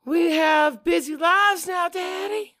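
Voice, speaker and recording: A man's voice: extremely high pitch, takes it slowly, bright, forceful tone, no room sound, studio quality, voice pushed up, exaggerated voice. A man voicing a sweet, casual grown daughter in audiobook dialogue, with a light, offhand manner.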